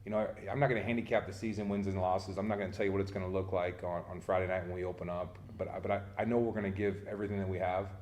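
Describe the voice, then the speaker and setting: Fast-talking deep-voiced coach. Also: male voice, level tone, a little mellow, roomy sound. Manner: earnest, repeats words when starting sentences. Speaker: head baseball coach. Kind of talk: casual interview answers.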